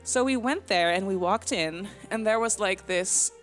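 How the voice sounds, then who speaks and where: A woman's voice: mid-range voice, measured pace. Chatty young Dutch woman, giggly voiceover. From Netherlands. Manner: chatty, giggly, self-deprecating, with many fillers and restarts. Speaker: Sims speed build vlogger. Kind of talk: casual rambling voiceover. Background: soft background music.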